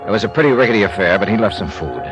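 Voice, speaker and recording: An American actor playing a world-weary, wry insurance investigator in a 1950s radio drama, speaking tersely. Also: male voice, fairly low pitch, lively intonation, very fast, close to an everyday voice, rough recording. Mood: weary, businesslike. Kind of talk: 1950s radio drama acting, hard-boiled narration. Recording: old radio recording with music.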